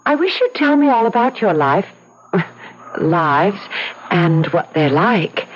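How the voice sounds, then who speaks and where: Casting: eager girlish sweetheart, very animated, playful, period radio play, muffled sound. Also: fairly low pitch, takes it slowly. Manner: coaxing, playful. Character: bright, curious, teasing young sweetheart, girlish and eager. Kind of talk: old-time radio drama acting. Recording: dull lo-fi radio recording, faint noise.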